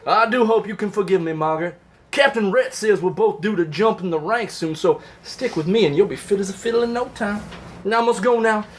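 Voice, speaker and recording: A man's voice: high voice, a little fast, close to an everyday voice. A man playing a lewd, boastful Confederate soldier in a comic reading of letters home, with a folksy, florid manner of speech. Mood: earnest confession. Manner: folksy, old-timey, florid. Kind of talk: comedic live reading of love letters.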